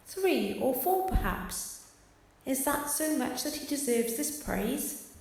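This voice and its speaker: A woman's voice: high-pitched, big pitch swings, takes it slowly, a little mellow, exaggerated voice. Heavily echoing female spirit, played by an actress. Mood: coolly questioning. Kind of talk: audio drama acting. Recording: heavy echo on the voice.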